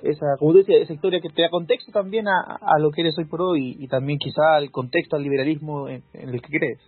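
A man's voice: on the high side, steady tone, fast talker, husky, no room sound. A man hosting a podcast interview, chatty with joking asides. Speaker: podcast host. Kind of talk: casual interview. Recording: dry phone-like call audio.

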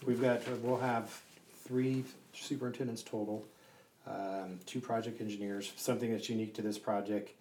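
A man answering interview questions as a construction team leader, talking conversationally and haltingly as he thinks aloud. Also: fairly low pitch, steady tone, a little mellow. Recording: roomy echo, distant mic, faint noise.

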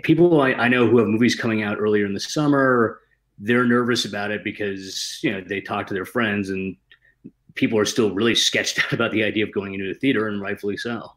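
A man's voice: fairly low pitch, a bit bright, low-quality recording. A man talking casually as a guest screenwriter, slangy and given to self-deprecating humour. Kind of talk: casual chat.

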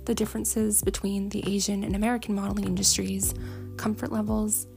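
A woman's voice: mid-range voice, level tone, brisk, gravelly, darkish tone, soft background music. A young woman hosting a podcast, chatting in a gushing, enthusiastic way with many fillers. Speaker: podcast host. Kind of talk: casual interview chat.

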